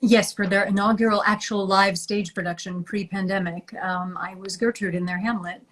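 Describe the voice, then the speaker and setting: A woman stage actress answering interview questions over a video call, warm and thoughtful, quick to laugh. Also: mid-range voice, steady tone.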